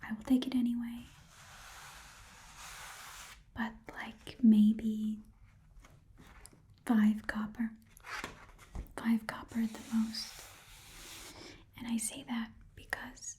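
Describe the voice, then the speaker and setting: A woman voicing a shrewd, chatty goblin shopkeeper in a breathy whisper, rambling and muttering to herself as she haggles in an ASMR roleplay. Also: a little high, mellow. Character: shrewd, chatty goblin pawn shop keeper.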